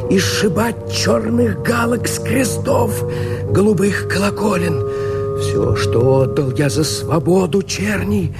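A weary rebel clinging to life in a radio verse drama. His high voice draws out each phrase slowly, impassioned and yearning, under very loud music. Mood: fervent yearning. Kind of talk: theatrical verse declamation in a radio play.

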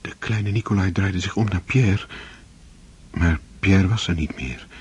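An actor playing a solemn, measured literary narrator in a radio drama, with a husky voice. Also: male voice, darkish tone, exaggerated voice. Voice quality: deep, breathy.

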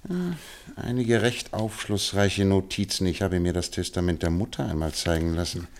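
Shrewd detective, low-pitched voice, composed, analytical, wryly ironic, measured delivery, radio play. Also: male voice, darkish tone, slight background noise.

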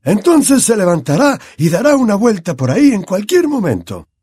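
A man's voice: high voice, wildly swooping pitch, moderate pace, a little mellow, breathy edge, no room sound, studio quality, pitched above the performer's own voice, heavily acted. A man voicing a genial old headmaster with a cheerful, firm manner.

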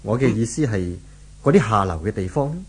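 A man's voice: lowish voice, expressive, fairly quick, husky. A man acting as a firm, methodical police inspector in a 1970s radio drama, with a Hong Kong Cantonese accent. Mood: measured, firm. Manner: firm, commanding, probing. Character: authoritative, methodical male police inspector leading raids.

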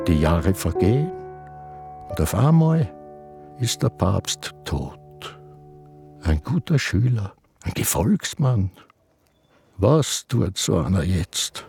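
An actor with a Viennese accent playing an elderly man in a sanatorium who slowly broods over his memories, halting and confessional. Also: on the low side, exaggerated voice. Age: older. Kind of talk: radio play acting with inner monologue.

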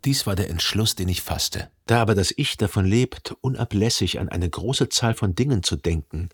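An actor playing a brooding, obsessive jilted lover who narrates his thoughts in an introspective, deliberate way in a literary radio drama. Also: on the low side, animated. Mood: bleak reflective. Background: music.

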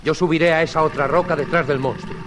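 An actor with a Castilian accent playing a brave, commanding space hero in a 1950s Spanish radio serial, urgent and declamatory. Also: male voice, a little high, steady tone, fast, noisy background, close to an everyday voice. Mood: calm resolve. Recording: vintage radio drama with music behind.

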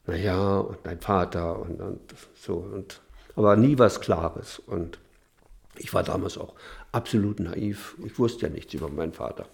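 Older man, hesitant interviewee, low gravelly voice. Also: darkish tone. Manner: hesitant, halting recollection. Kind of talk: interview recollection.

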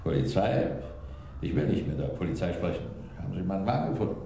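An actor playing a fat, indignant German timber importer with a gruff, defensive manner in a radio play. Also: male voice, low-pitched, animated, fast talker, mellow, a little hiss or hum. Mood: brusque, suspicious.

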